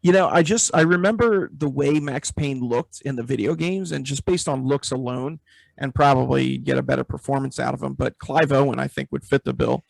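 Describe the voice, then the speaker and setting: A man's voice: medium pitch, a little fast, creaky, dry recording, low-quality recording. A man hosting a casual movie review chat. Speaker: podcast host.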